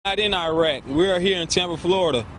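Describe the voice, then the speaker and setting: A man speaks in a high voice, making a public appeal for a news camera. The interview recording has clear background noise. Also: fast talker. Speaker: community leader interviewed for news. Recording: noisy outdoor news interview.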